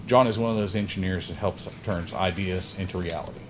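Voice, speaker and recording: Deep-voiced man reading ceremony thanks. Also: fairly quick, noisy background. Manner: reads thank-you list, occasional slips. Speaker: airport official and emcee. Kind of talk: prepared ceremony remarks. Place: outdoor groundbreaking site. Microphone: podium microphone.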